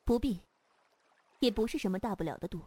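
A woman's voice: medium pitch, lively intonation, fairly quick, mellow, dry recording, lightly acted. A woman playing a commanding, self-assured female martial sect leader in a Chinese wuxia audio drama. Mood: scornful, commanding. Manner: imperious, self-assured, clipped orders.

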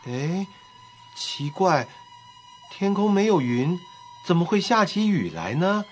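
A man voicing a small, kind-hearted swallow in an expressive, exclamatory way for a children's audio storybook. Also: high voice, slow, faint music behind, exaggerated voice. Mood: puzzled, grumbling.

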